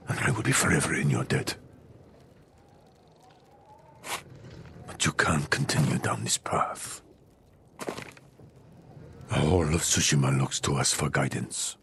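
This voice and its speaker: An actor playing a stern, honourable older samurai lord in a game cutscene, speaking in a formal, grave, measured way. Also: male voice, very deep voice, vocal fry, fairly bright tone, a little hiss or hum, exaggerated voice. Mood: solemn. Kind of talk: dramatic game cutscene acting.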